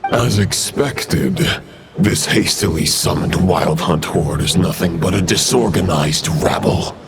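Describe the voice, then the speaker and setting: A very deep, gravelly villain knight. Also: male voice, heavy background noise. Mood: grave, measured. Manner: formal, deliberate, archaic phrasing. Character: grave, formal, chivalrous enemy knight commander. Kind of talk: dramatic game acting.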